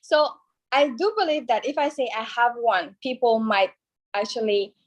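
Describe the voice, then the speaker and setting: A young woman, a pageant contestant from France whose first language is Cambodian, chatting relaxed and a little hesitant on a video call interview. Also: on the high side, moderate pace. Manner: relaxed, chatty, with frequent fillers.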